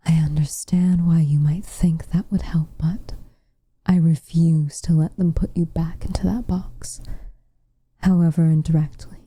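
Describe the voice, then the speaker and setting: A woman playing a tender, protective witch with a dry teasing streak in an intimate ASMR roleplay, speaking softly and breathily, close to the mic. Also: deep voice, level tone. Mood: firm, protective. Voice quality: soft, breathy, near-whispered. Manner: gentle, measured, quietly teasing. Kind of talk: intimate ASMR roleplay, scripted character acting. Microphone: close studio mic, dry.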